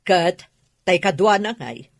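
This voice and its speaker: A woman's voice: fairly low pitch, animated, slow, vocal fry, no echo, full character voice. A woman with a Filipino accent playing a skeptical, nagging older mother in a radio drama. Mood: skeptical, probing. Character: older mother, skeptical and nagging toward her grown son.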